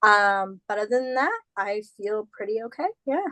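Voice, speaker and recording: A woman's voice: medium pitch, expressive, normal speed, sharp tone, no room sound. A woman co-hosting a casual podcast, getting over an illness, chatting loosely with lots of "like" fillers. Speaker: podcast co-host.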